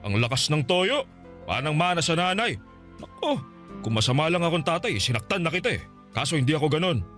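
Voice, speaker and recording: A man's voice: fairly high pitch, sing-song, brisk, fairly bright tone, faint music behind. Boastful Filipino father, swooping delivery. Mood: exasperated. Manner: wheedling, self-righteous, scolding. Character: boastful, manipulative middle-aged father. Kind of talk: Filipino radio drama acting.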